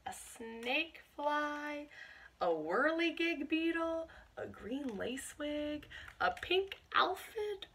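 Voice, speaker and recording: A woman's voice: high-pitched, big pitch swings, slow pace, fairly bright tone. A woman, reading a picture book aloud to young children and speaking expressively to them like a teacher. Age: 20s. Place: small bedroom at home.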